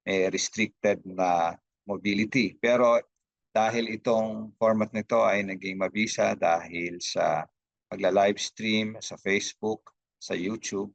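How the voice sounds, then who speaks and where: A Filipino man giving unscripted opening remarks as a host, halting often with hesitations and broken-off words. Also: lowish voice, fairly even intonation, creaky, dry recording, lo-fi sound. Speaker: host, institute director.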